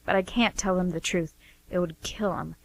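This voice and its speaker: A woman's voice: fairly low pitch, fairly even intonation, moderate pace, creaky, rough recording. A woman acting a flustered, defensive young woman in a radio play, chatty and hesitant as she justifies herself. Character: defensive, flustered young working woman hiding her profession from family.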